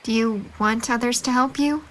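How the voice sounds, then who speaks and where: A woman's voice: on the high side, moderate pace, a bit bright, slight background noise, lightly acted. A young woman playing a candid, level-headed girlfriend in a breakup drama, direct and composed. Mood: concerned, bittersweet. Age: young adult. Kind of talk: naturalistic dramatic dialogue.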